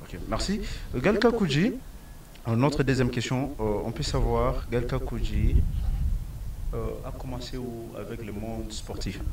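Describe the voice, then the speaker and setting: A young Congolese-accented man hosting a sports interview, halting and repetitive in his phrasing, on a handheld mic outdoors. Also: mid-range voice, distant mic, crowd noise, rough recording. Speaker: sports show host. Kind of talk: broadcast interview. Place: open-air football pitch. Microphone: handheld microphone.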